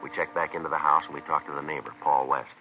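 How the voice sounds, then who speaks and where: Deep American male detective, deadpan, clipped, quick-paced, vintage radio crime narration. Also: faint music behind. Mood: flat, factual. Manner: deadpan, terse, matter-of-fact. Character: stoic, clipped male police detective who narrates the case. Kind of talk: 1950s radio crime drama acting and scripted narration. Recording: muffled, noisy old radio recording.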